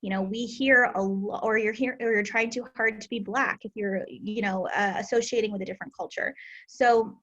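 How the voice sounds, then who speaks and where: Female host and educator, mid-pitched, earnest and emphatic, reflective delivery. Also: steady tone, normal speed, fairly bright tone, muffled recording. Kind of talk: casual discussion.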